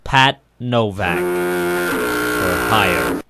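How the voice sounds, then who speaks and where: A man working as a network announcer, formal and deliberate in the style of 1940s radio. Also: deep voice, sing-song, heavy background noise. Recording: 1940s radio broadcast recording.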